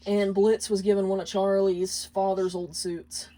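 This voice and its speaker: A woman narrates a piece of fan fiction, reading from the script. Her voice is mellow and soft-edged, at an ordinary pitch for a woman. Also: little pitch movement, a little slow.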